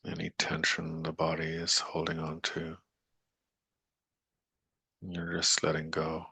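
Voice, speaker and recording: A man leading a guided meditation in a soothing, unhurried manner with long pauses and gentle coaxing, his voice breathy and gravelly. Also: a bass voice, completely flat delivery, dry recording.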